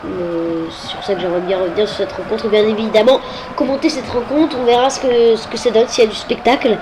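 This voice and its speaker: A woman's voice: on the high side, fairly even intonation, fast talker, background noise. A woman with a head cold commenting on a football video game, hesitant and full of filler sounds. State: has a cold.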